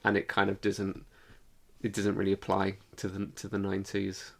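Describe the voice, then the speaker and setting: A man's voice: sits low, monotone, moderate pace, gravelly. A man co-hosting a podcast, chatting casually and informally in review style, with the odd swear word. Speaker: podcast co-host.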